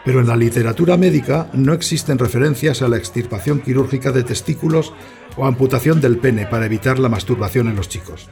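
Actor as sober male narrator, gravelly, measured, scripted audio theatre, faint music. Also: medium pitch, dark tone, natural voice. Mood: grave, factual. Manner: measured, expository. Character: sober narrator reciting historical medical facts.

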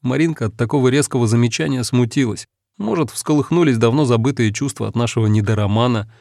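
A man narrating a first-person story in a calm, reflective, measured way. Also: medium pitch, gravelly, dry recording, studio quality. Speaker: audiobook narrator.

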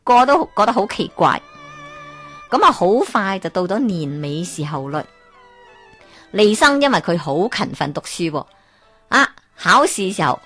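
A woman storyteller with a Hong Kong Cantonese accent spins an old folk tale at a middle pitch. She talks in a lively way and keeps turning to the listener with questions. Soft music plays quietly behind her.

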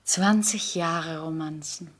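An actress playing a flirtatious, sharp-tongued society lady in a 1960s German radio play, elegant and teasing. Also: deep voice, takes it slowly. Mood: catty, amused.

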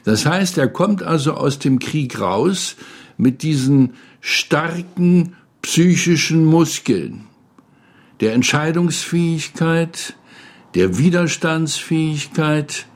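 An older man speaks slowly in a fairly high voice. He tells things like a storyteller in an interview, with dramatic emphasis on his phrases. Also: animated. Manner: dramatic present-tense phrasing. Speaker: interviewee, former radio feature editor.

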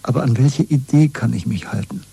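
An actor playing an earnest, troubled rebel searching for belief in a German radio play, with halting, intense delivery. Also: male voice, medium pitch, gravelly, mellow, breathy edge. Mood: yearning, troubled.

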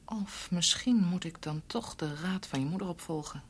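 A woman's voice: on the low side, moderate pace, a little hiss or hum, lightly acted. An actress playing a tender, devoted and anxious young woman in a Dutch radio drama. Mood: worried.

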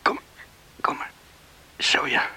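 An actor playing a tender, distraught young man in a Dutch radio drama, speaking softly and soothingly, often in a whisper. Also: slow. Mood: tender, hushed. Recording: hissy old radio drama recording.

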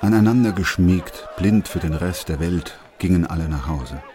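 An actor playing a sober, compassionate doctor who narrates a radio play in a measured, grave literary style. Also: male voice, low voice, noisy background, natural voice.

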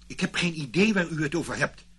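An actor playing a guarded, indignant middle-aged former army officer under questioning in a radio play, curt and evasive. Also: male voice, a little high, expressive, a little fast, fairly bright tone, a little hiss or hum. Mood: indignant, defensive.

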